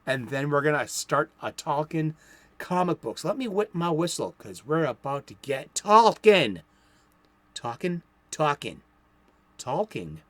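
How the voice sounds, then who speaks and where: Playful, animated man. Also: fairly high pitch, measured pace, no room sound. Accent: Boston. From Boston. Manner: playful, rambling, chatty with asides. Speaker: comics show host. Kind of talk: enthusiastic solo comics talk.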